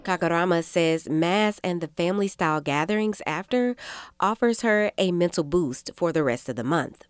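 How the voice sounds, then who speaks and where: A woman with a low-pitched voice narrates a scripted news feature. She speaks as a radio reporter, reading her copy in a narrative style. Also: animated, moderate pace, smooth.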